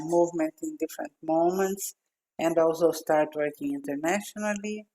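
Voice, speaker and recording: A woman in her sixties from Brazil with a Brazilian accent, speaking thoughtfully with pauses as a podcast guest. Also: deep voice, dark tone, poor audio. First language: Portuguese. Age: 60s. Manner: slow, thoughtful speech with pauses and fillers. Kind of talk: podcast interview. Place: home office with glass wall, remote.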